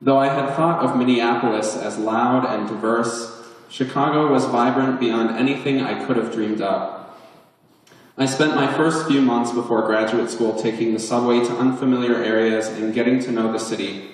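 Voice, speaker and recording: A man's voice: mid-range voice, fairly even intonation, normal speed. A man giving a live reading from his memoir as its author, in articulate, literary phrasing with a wry touch. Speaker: author reading at a book event. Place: chapel, indoors, at a live book release. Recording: echoey chapel, picked up from far off.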